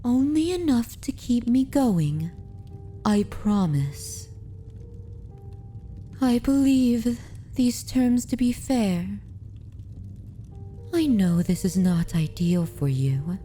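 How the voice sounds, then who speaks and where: A woman playing a dominant, possessive yet tender vampire mistress in an ASMR roleplay, speaking softly and intimately in a formal, courtly manner. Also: fairly high pitch, lively intonation, soft background music. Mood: coaxing, possessive. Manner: slow, deliberate, formal and courtly. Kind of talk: ASMR roleplay, soft intimate delivery with whispers.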